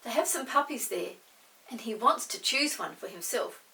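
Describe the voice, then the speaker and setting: A woman reading a story voices a calm, kindly mother who gently scolds. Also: on the high side, moderate pace, vocal fry, recorded from a distance, heavily acted, low-quality recording. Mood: gentle.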